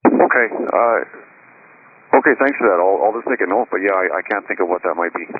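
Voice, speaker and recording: A man's voice: low voice, brisk, narrow, tinny audio. A man working as an air traffic controller, talking to pilots over the radio, often hesitating and repeating words. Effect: radio transmission. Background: aviation radio channel.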